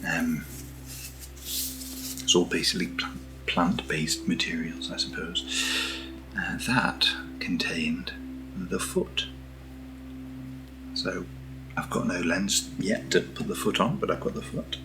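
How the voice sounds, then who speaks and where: A British man speaking softly in an ASMR unboxing style, hushed and often slipping into a whisper, as the host of the video. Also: sits low, slow, pauses often, over music, low-quality recording. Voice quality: soft, often dropping to a whisper. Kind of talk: soft-spoken ASMR unboxing.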